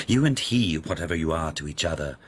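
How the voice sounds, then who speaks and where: Very deep-voiced, haughty court lord. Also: male voice, animated, breathy edge, exaggerated voice. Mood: scornful, probing. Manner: condescending, imperious, deliberate. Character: haughty, menacing high-ranking court lord. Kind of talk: radio drama acting.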